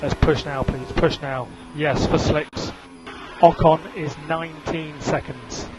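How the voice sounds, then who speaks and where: Higher-voiced male race engineer, very noisy radio. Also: steady tone, slow. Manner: repeats instructions, businesslike. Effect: team radio. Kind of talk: clipped motorsport radio instructions. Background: vehicle noise.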